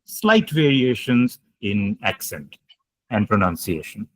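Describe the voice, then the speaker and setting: A man's voice: fairly low pitch, sing-song, slow, no echo. A man giving an online lecture, explaining points emphatically, repeating key ideas and taking questions. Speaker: guest lecturer.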